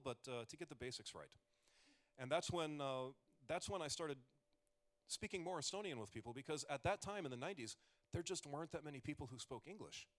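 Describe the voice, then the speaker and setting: A man's voice: fairly high pitch, a bit bright. Man, forties, comedian, casual stage talk, joking asides. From United States. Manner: joking asides, rambling with restarts.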